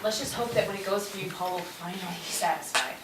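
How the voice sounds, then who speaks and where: A woman's voice: medium pitch, fast, lightly acted. A woman playing a practical, exasperated peacemaker among zombie-outbreak survivors in an amateur comic stage play. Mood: reassuring. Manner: reasoning, bossy, exasperated. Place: indoor stage performance, recorded from afar. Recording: distant, roomy stage recording, loud noise.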